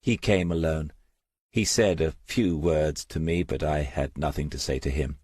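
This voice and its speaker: A male narrator plays a resolute young mother who speaks frankly and bitterly in a crime audiobook. The voice is low for her and slightly husky, recorded close and dry. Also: steady tone, normal speed, a little mellow, no room sound. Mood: grave, resentful. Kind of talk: audiobook character dialogue.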